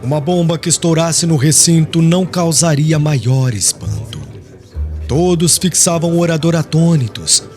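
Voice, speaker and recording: Expressive higher-voiced male Brazilian narrator. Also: moderate pace. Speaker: audiobook narrator. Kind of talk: expressive scripted literary narration. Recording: music bed under the voice.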